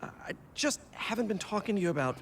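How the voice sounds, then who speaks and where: A man playing an awkward, kindly young superhero who stammers haltingly in a video game scene. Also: sits high, sing-song, gravelly, slight background noise, heavily acted. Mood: nervous, halting. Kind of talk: video game cutscene acting.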